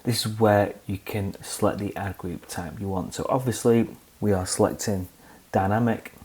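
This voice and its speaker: A young man hosting a screen-recorded software tutorial, calmly walking through each click step by step. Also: fairly low pitch, normal speed. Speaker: tutorial host.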